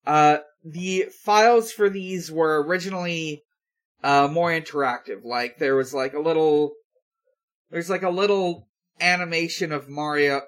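Slow, halting man recapping casually. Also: a little high, a bit bright. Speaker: podcast host. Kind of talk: casual recap talk with co-hosts.

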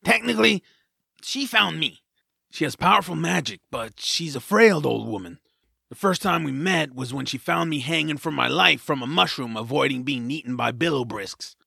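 A man playing a gruff, folksy and wary woodland companion in a family audio drama, telling things in a colloquial, dramatic way. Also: a little high, expressive, normal speed, pushed and bright, no echo, clean studio sound, exaggerated voice. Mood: ominous.

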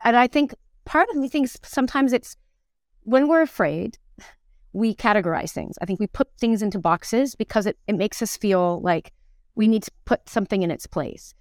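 Woman around 50 chatting in an interview, animated, really clean smooth voice, dry sound. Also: mid-range voice, moderate pace, no echo. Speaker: interview guest, stage actor in musicals and plays. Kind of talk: conversational interview.

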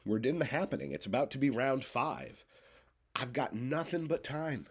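A man's voice: mid-range voice, big pitch swings, moderate pace, slightly rough. A man in his thirties, congested and coughing, rambling loosely through a solo podcast from his bedroom. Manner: loose, stream-of-consciousness rambling. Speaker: podcast host. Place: home bedroom.

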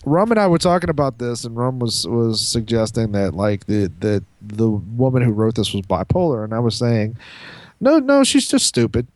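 A man co-hosting a podcast, talking in a halting, rambling, profane way with crude opinions. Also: medium pitch, big pitch swings, a little mellow, dry recording. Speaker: podcast co-host. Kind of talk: casual, crude opinionated group banter.

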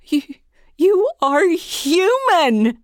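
A woman playing an earnest, excitable scientist in an audio drama, with expressive, exclamatory delivery. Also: extremely high pitch, drawn-out words, no room sound, clean studio sound, full character voice. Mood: astonished. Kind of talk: hobby audio drama acting.

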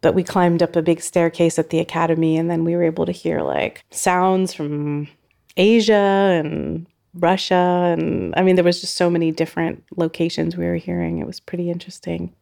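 A woman sound artist speaks in a low register with a gravelly fry running through her words. She talks reflectively and loosely, searching for her phrasing as she goes. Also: level tone, normal speed, warm tone. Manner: thoughtful, loosely phrased with frequent "like". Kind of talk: reflective interview talk.

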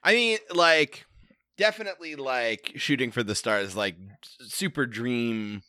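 A young man hosting a tabletop game podcast in animated, casual chat. He swears freely and laughs often. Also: mid-range voice, a little slow, clear, resonant tone, dry recording, studio quality. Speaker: game master and podcast host.

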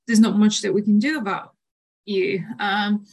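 A woman in her thirties from Bulgaria, a nutritional therapist answering interview questions in a measured way with frequent fillers. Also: mid-range voice, steady tone, gravelly.

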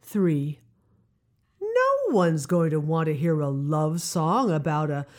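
A middle-aged woman telling a scripted personal story in a confiding, wry manner with deliberate pauses. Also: low-pitched, big pitch swings, darkish tone. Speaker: writer and narrator of a personal audio drama.

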